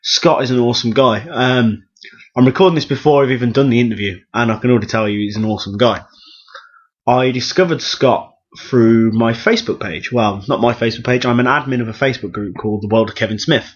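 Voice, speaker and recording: Hoarse podcast host, husky and muffled. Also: male voice, medium pitch, quick pace. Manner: self-deprecating, enthusiastic. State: hoarse from sore throat. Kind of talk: chatty solo podcast intro and interview. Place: room at home. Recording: dull, lo-fi home podcast recording.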